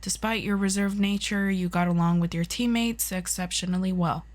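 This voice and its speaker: A woman's voice: medium pitch, steady tone, normal speed, darkish tone, natural voice. A woman playing a composed, formal and slightly condescending superhero agency official in an ASMR roleplay. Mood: formal, polite.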